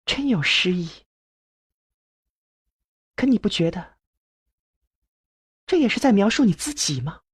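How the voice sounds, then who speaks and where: A man voicing a young female military researcher in an audiobook, soft, breathy, hushed and quietly persuasive. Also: high voice, big pitch swings, a little slow, pauses often, no echo, clean studio sound, voice pushed up, full character voice. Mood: probing, wry. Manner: hushed, coaxing.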